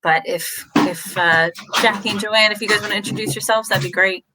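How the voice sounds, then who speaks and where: A woman's voice: medium pitch, fairly even intonation, a little fast, heavy background noise. A woman from the United States hosting a live online question-and-answer session, chatty and upbeat with frequent fillers, speaking over a video call. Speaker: host of a university Q&A. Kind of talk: informative livestream presentation. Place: home in Wales.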